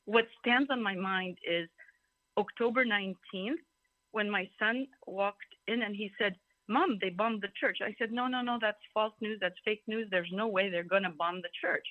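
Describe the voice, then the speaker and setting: A woman's voice: medium pitch, moderate pace, no echo. A talkative woman from Greece giving informal personal testimony as a speaker, emphatic and given to digressions. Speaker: symposium speaker. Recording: thin phone-like call audio.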